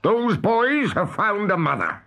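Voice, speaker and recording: Storybook villain: pirate captain, pompous and raging, pitched high in wild swoops, music underneath. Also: male voice, wildly swooping pitch, heavily acted. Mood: scheming. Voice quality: hoarse when shouting. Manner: pompous, theatrical, drawn-out words. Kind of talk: dramatized children's storybook reading. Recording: music behind.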